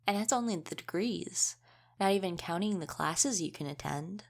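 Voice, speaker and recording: A woman's voice: fairly low pitch, lively intonation, moderate pace. A woman playing an easygoing young female wolf shifter in a casual audio roleplay, chatting and explaining things informally. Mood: chatty, informative.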